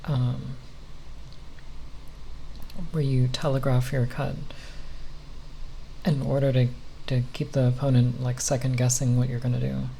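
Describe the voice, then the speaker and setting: A soft-spoken ASMR creator rambling unscripted, halting and often pausing mid-phrase. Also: mid-range voice, level tone, vocal fry, slight background noise, low-quality recording.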